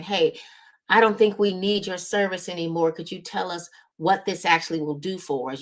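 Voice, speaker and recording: Female business coach and host, lowish voice, emphatic instructional delivery that keeps checking the audience is following. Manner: emphatic, checks in with "right?" and "okay?". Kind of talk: motivational coaching talk to audience.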